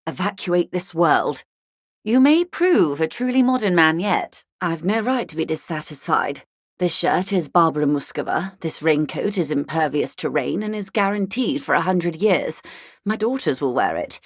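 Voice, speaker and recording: Posh rich middle-aged negotiator lady from a video game, low voice, dry clean recording, wry and formal, very composed. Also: no echo, exaggerated voice. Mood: cool, assured. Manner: measured, eloquent, dryly ironic, formal. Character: poised wealthy middle-aged female corporate negotiator, wry and worldly. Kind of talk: video game character dialogue.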